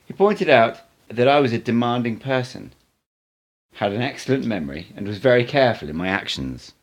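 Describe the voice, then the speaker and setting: Man, amateur audiobook narrator, lowish pitch, lively melodic delivery, expressive.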